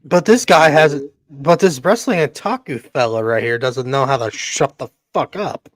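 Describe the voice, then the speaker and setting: A man hosting a podcast, bantering in an excitable, joking way and swearing freely. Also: mid-range voice, moderate pace, no room sound. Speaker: podcast host. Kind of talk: casual profane podcast banter.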